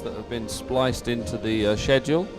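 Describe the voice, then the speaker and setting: A man's voice: mid-range voice, moderate pace, music in the background. A man giving live, unscripted sports commentary in a chatty, hesitant, explanatory way.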